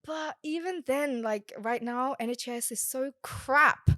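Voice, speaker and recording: A woman's voice: high-pitched, slow, bright, forceful tone, no room sound, clean studio sound. Hesitant woman, filler-heavy chat. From Bangladesh. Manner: hesitant, lots of fillers and restarts. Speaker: food blogger guest. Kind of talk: casual podcast chat.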